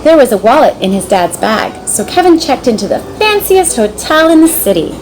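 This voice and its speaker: Female storybook reader, high-pitched, dramatic and expressive, with some background noise. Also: moderate pace. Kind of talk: expressive scripted children's story narration.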